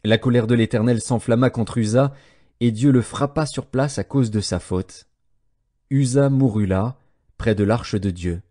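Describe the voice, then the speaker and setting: A man narrating scripture as an audiobook, reading from a script in a measured, solemn manner with clearly separated phrases. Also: medium pitch, mellow.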